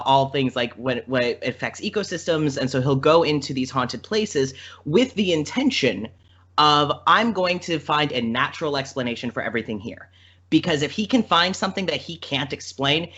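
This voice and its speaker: A man's voice: a little high, fairly bright tone. A man talking casually as a podcast guest, in an informal, chatty, rambling way. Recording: slightly dull, lo-fi audio.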